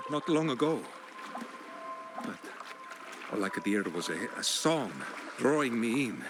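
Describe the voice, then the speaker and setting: Excitable, wildly swooping old fisherman. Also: lowish voice, background noise. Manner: theatrical, halting, exclamatory. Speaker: game character voice actor. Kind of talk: theatrical video game character acting. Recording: loud music behind the voice.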